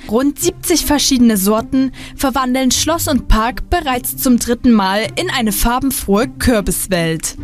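A woman reporter reading a scripted news feature voice-over at an even pace. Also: fairly high pitch. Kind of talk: scripted narration.

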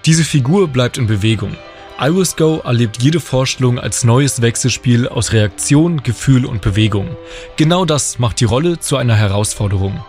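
Male reporter, mid-pitched voice, scripted news narration over a music bed. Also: expressive, normal speed, a little mellow.

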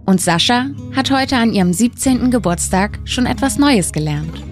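Calm woman doing a news voiceover, guitar music playing clearly behind her. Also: a little high. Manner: calm, measured narration. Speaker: news reporter. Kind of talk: scripted news voice-over. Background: soft guitar music.

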